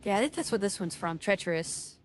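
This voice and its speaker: A woman's voice: medium pitch, lively intonation, brisk, faint music behind. Rambling woman playing a game. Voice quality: loud voice. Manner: rambling, lots of fillers and restarts. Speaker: gaming streamer. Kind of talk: casual game commentary.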